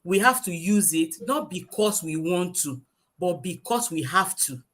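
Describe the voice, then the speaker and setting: A woman's voice: lowish voice, animated, a little slow, fairly bright tone. A woman, a guest English teacher lecturing earnestly in a webinar over a video call, repeating her points for emphasis.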